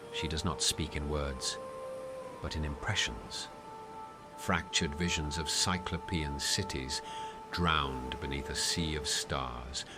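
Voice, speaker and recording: A man playing a haunted, dread-struck soul who narrates a gothic cosmic horror monologue in a solemn, ornate, deliberate manner. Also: rumbling low. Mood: fateful dread. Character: haunted, dread-struck man tethered to an unseen sea entity. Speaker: narrator in an audio roleplay.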